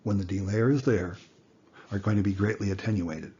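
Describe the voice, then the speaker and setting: A man giving an informal explanatory lesson as an instructor, speaking deliberately and emphatically with rhetorical questions. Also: deep voice, warm tone, breathy edge, dull audio. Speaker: instructor, amateur radio teacher.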